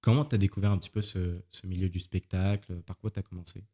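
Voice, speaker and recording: A young man with a fairly low voice hosts a conversational interview. His delivery is informal and familiar, like chatting with a friend. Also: fairly even intonation, fast talker, mellow. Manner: informal, chummy with guest. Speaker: podcast host, interviewer.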